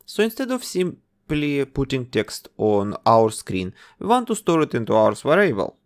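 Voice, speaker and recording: A man narrating a coding tutorial, calmly giving instructions one step at a time. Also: mid-range voice, normal speed. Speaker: game development tutor.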